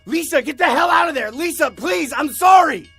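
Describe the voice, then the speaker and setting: A man voicing a frantic, guilt-ridden young boyfriend in a horror story, pleading in a strained, tearful shout. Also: extremely high pitch, animated, moderate pace, gravelly, soft background music, pitched above the performer's own voice, exaggerated voice. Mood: panicked shouting.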